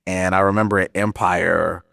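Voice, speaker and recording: A man's voice: sits low, moderate pace, vocal fry, dry recording, studio quality. A man from Chicago, guest on an interview podcast, chatting casually and acting out little quotes as he talks. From Chicago, Illinois. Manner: animated, laughs often, acts out quotes. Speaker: actor guest.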